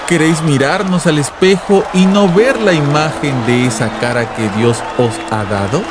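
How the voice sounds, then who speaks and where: A man's voice: fairly high pitch, moderate pace, over music, crowd noise, pitched above the performer's own voice, close to an everyday voice. A man playing a fervent, imperious revival preacher in a dramatized story, speaking with urgent, vibrant, menacing oratory. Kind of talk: dramatized audiobook fire-and-brimstone sermon.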